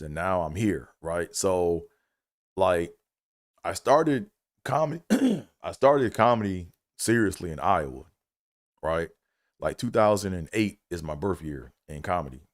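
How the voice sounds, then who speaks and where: Very low-voiced laid-back man, dry sound. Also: dry recording. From Maryland, USA. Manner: loose and conversational, heavy fillers, frequent "you know what I'm saying". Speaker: comedian and engineer hosting a livestream. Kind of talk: casual solo livestream monologue.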